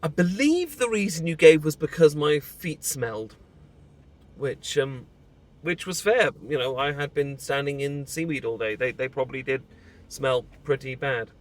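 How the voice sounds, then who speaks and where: A British man playing an emotional husband leaving an abusive marriage, confiding haltingly in a close friend in an intimate, naturalistic audio roleplay. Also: on the high side, lively intonation, lightly acted. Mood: amused, nostalgic. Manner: halting, confessional, sighing, informal and sweary.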